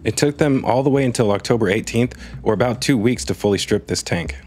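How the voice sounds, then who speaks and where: A mid-pitched man narrates a scripted space news video over faint background music. He tells it as a story, dry and wry, with comic asides. The voice-over is clean and studio-made. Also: fairly quick, darkish tone.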